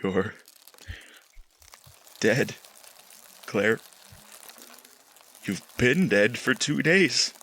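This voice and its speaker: An actor playing a guilt-ridden, horror-struck scientist losing his mind in a 1940s-style radio drama, halting and repeating himself melodramatically. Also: mid-range voice, animated, sharp tone, full character voice. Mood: bitter, weary despair.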